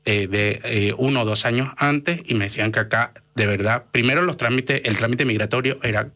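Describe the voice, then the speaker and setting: A man's voice: medium pitch, fairly quick, sharp tone. A man in his thirties from Venezuela with a Venezuelan Spanish accent, a doctor answering interview questions earnestly, with many fillers and restarts. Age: 30s. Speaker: guest, doctor and activist.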